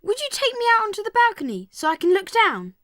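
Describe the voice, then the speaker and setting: An eager little boy mouse in an audio drama. The voice is tiny and extremely high, with animated pitch and plenty of pluck. It sounds close and dry. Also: quick pace, no echo, exaggerated voice. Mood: eager, scheming.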